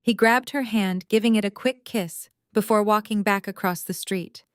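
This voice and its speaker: A woman's voice: mid-range voice, normal speed, no echo, clean studio sound. A woman reading scripted story narration as the narrator of a drama.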